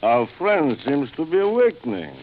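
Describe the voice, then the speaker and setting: An actor playing a suave, proud general and big-game hunter, speaking in a courtly, theatrical way in a vintage radio drama. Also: male voice, on the high side, sing-song, moderate pace, loud music behind the voice. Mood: courtly welcome. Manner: courtly, formal, theatrical, savouring his words. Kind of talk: 1940s radio acting. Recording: muffled, noisy vintage radio recording.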